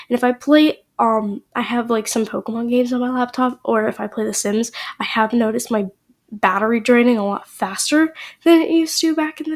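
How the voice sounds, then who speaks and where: A woman's voice: on the high side, a little mellow. A young woman with a cold and a slightly nasal voice, chatting casually to her viewers with lots of "like"s and "um"s. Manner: chatty, rambling, many "like"s and "um"s. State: sick, a little nasal. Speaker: YouTube creator.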